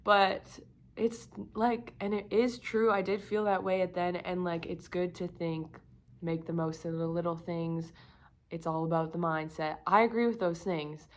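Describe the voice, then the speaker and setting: This is a young woman talking straight to her camera in a loose, candid vlog. Her voice sits a little low and sounds croaky, as if she is a bit sick, and she rambles with plenty of fillers. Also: steady tone, unhurried. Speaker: vlogger. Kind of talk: casual confessional talk to camera.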